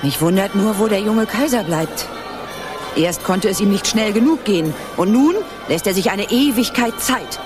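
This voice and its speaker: A woman's voice: medium pitch, lively intonation, moderate pace, close to an everyday voice. An actress playing a worried, imperious middle-aged noblewoman in a radio play, formal and commanding. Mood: uneasy. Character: worried, imperious noblewoman, mother of young emperor. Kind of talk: German radio play acting. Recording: music and crowd behind.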